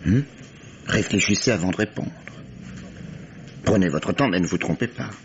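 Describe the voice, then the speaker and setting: An actor playing a patient, methodical police commissioner in a radio mystery, measured and probing, courteous but firm. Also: male voice, low voice. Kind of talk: French radio mystery drama acting. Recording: dull, noisy old radio recording.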